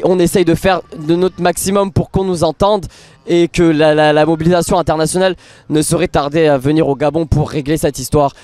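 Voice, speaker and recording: Young man from Morocco, high-pitched and heated, dry close handheld mic. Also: darkish tone, no room sound. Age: young adult. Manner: passionate, stumbling restarts. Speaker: interviewee. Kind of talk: street interview statement. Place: city street at night, outdoors. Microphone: handheld reporter mic.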